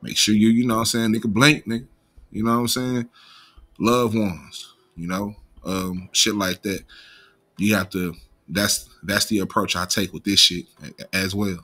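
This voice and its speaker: A man's voice: lowish voice, steady tone, moderate pace, pushed and bright. An American man with a slight stutter, talking off the cuff as an online commentary host in slangy, profane, casual language. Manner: imperfect pronunciation of some words.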